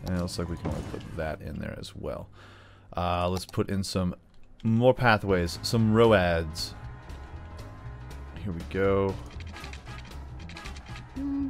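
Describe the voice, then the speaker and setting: An American man giving casual gameplay commentary, playful and rambling as he thinks aloud. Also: low voice. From United States. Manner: playful, often slips into put-on drawls. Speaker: gaming video host. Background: background music.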